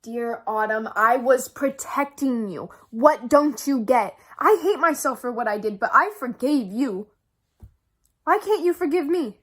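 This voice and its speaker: A hurt teenage girl played by a young woman pleads defensively in a fairly high voice. It is delivered as an emotional stage monologue. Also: expressive, measured pace, a bit bright, heavily acted. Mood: hurt, pleading. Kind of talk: stage monologue as spoken letter.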